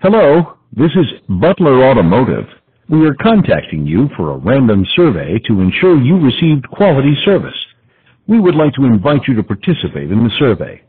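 A man's voice: mid-range voice, huge pitch swings, moderate pace, husky. A man reading a formal scripted survey message over the telephone. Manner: formal, carefully enunciated announcement. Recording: narrow, tinny telephone line.

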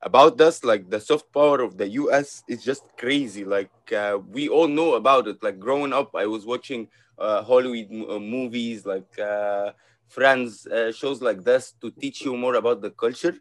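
A man's voice: mid-range voice, normal speed. A man from Egypt hosting a podcast interview, speaking hesitantly with many fillers and restarts. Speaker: podcast host. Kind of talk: casual interview.

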